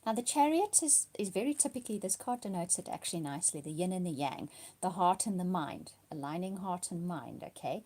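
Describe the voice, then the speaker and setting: A woman with a cold and a partly lost voice, giving a weekly tarot card reading, soft and slipping into whispers at times. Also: on the low side, expressive, fairly quick.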